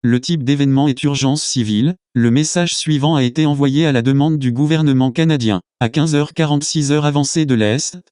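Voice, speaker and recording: A crisp, quick male voice reads an emergency broadcast script. It is synthetic, formal and impersonal, with a very dry sound. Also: medium pitch, darkish tone, no room sound. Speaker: emergency alert announcer.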